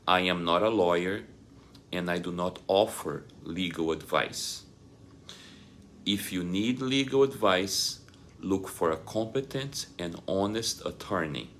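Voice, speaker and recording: A middle-aged man presenting a scripted explainer to camera in a deliberate, measured, formal way. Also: sits low, fairly bright tone, a little hiss or hum. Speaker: host of an advice channel.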